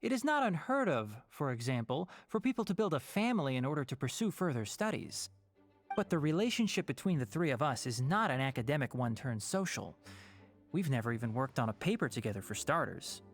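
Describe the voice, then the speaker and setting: Casting: sensible, dry-witted young video game scholar, expressive rise and fall in pitch. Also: male voice, a little fast, soft background music. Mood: wry. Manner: dry, articulate, matter-of-fact. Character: wry, sensible young male scholar and guardian. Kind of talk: video game cutscene acting.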